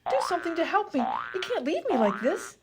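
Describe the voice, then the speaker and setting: Woman playing a high-pitched, exasperated wisecracking patient. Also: big pitch swings, quick pace, loud music behind the voice. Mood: panicked. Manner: sardonic, incredulous. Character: wisecracking, exasperated female patient with a broken foot. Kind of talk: comedy radio-play acting.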